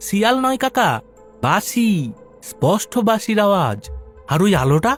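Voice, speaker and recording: A male actor plays an inquisitive young city man in a cartoon story. His voice is high and very lively in pitch. He presses his questions stubbornly, with music behind him. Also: normal speed. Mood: insistent, suspicious. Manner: questioning, persistent. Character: curious, stubborn young city man visiting village relatives. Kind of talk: animated audio story dialogue.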